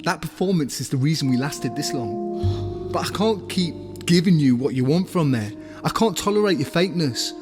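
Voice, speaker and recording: High-pitched male voice, actor as bitter wounded boyfriend, blunt, accusing, self-loathing, audio drama, music behind. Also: expressive, moderate pace, natural voice. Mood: bitter, resigned. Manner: blunt, accusing, emotionally charged delivery. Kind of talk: dramatic audio play dialogue.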